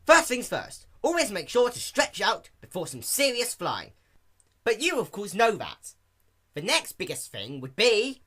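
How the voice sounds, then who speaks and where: Man putting on a loud, high, cocky pony girl. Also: huge pitch swings, measured pace, heavily acted. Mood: boastful. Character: brash, boastful young female cartoon pegasus pony.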